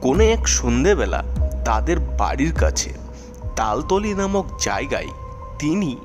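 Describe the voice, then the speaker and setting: A man narrating a scripted ghost story in short, measured, suspenseful phrases. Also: medium pitch, big pitch swings. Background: background music.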